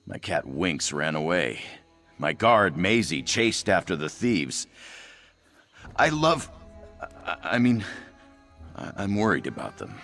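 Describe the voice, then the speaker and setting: A man's voice: deep voice, sing-song, slow, long pauses, fairly bright tone, faint music behind, exaggerated voice. A man voicing an anxious, self-doubting young cat-man trader in a fantasy video game, fretful and hesitant. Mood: anxious, earnest.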